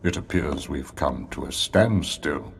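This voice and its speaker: An actor playing a grave, commanding leader in a video game, solemn and formal. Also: male voice, very deep voice, expressive, a little slow, a little hiss or hum, exaggerated voice. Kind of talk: video game cutscene acting.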